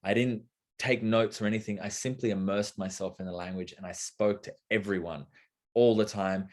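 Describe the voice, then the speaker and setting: Low-voiced man in casual chat. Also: fairly even intonation, moderate pace, a little mellow. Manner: thoughtful, rambling with many fillers and restarts. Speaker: co-host of a live talk. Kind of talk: casual conversational talk.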